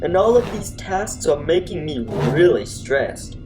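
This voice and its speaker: A man's voice: on the high side, animated, measured pace, music in the background. A man playing a lazy, laid-back gamer monster who talks in casual dude slang in a comic radio play. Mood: whiny excuses. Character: lazy, laid-back young slacker monster who loves video games.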